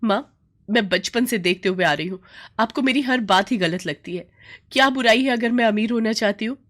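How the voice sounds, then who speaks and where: A woman's voice: on the high side, normal speed, fairly bright tone, voice pushed up, close to an everyday voice. A woman voicing a headstrong, complaining young working daughter in a storybook tale. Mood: defiant. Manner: insistent, complaining.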